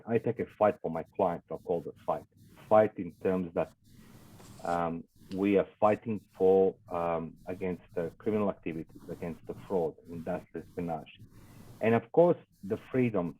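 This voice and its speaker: A man with a Croatian accent, a guest in an interview, speaking English as a second language with many fillers and halting phrasing. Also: deep voice, husky, narrow, tinny audio. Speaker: guest, investigations business owner. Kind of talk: casual interview talk.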